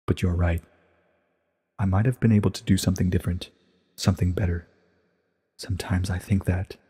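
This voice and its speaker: An ancient pony princess, acted by a man, low and very husky. She speaks with solemn, weary regret in a measured storybook confession, soaked in long reverb. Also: flat delivery, dark tone. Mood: wistful regret. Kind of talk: dramatic storybook reading.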